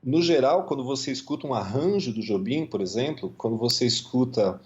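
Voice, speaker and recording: A man's voice: brisk, dull audio. A Brazilian man with a Brazilian Portuguese accent, a musician guest talking casually, thoughtful, with many fillers and repeats. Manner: thoughtful, many fillers and repetitions, lively pitch swings. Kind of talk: casual conversational interview.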